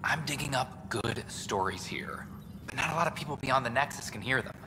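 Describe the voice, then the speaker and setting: A man's voice: mid-range voice, animated, noisy background, close to an everyday voice. Man playing a weary, eager news reporter. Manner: eager, fast-talking, a little weary. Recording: long reverb, game ambience behind.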